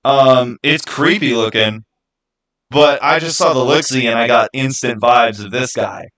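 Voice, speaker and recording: A man's voice: mid-range voice, measured pace, a bit bright. A man hosting a livestream, chatting casually and answering viewers in a rambling, conversational way. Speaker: livestream host.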